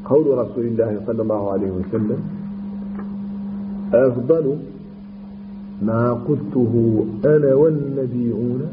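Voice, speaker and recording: Man, grammar teacher, halting slow explanation, chanted verse, clear background hum. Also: mid-range voice. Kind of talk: classical Arabic grammar lesson. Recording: tinny phone-like line with background hum.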